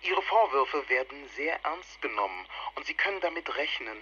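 A man's voice: fairly high pitch, very animated, normal speed, sharp tone, dull audio, a little hiss or hum. An actor in a radio drama playing an officious man reading a formal threatening announcement on a taped phone message. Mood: stern, officious. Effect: tape and telephone filter.

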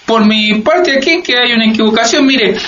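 An actor playing an earnest, pleading Latin American immigrant of forty in a satirical radio drama. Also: male voice, extremely high pitch, moderate pace, sharp tone, lo-fi sound, a little hiss or hum. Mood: bewildered protest.

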